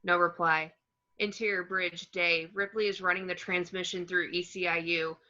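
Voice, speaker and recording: A woman reading screenplay action descriptions as narration, in a plain, measured way. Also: fairly low pitch, flat delivery, pushed and bright. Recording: slightly dull home microphone.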